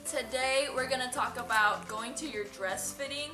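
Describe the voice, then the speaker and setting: A woman's voice: sits high, normal speed, music in the background. A young woman chatting casually and explanatorily as the host of an advice vlog, recorded in a large room on a camera mic. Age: young adult. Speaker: host, quinceañera choreographer. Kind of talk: casual instructional vlog chat. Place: large indoor dress boutique showroom, seated on a sofa. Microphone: camera mic a few metres away. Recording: roomy echo, camera mic at a distance.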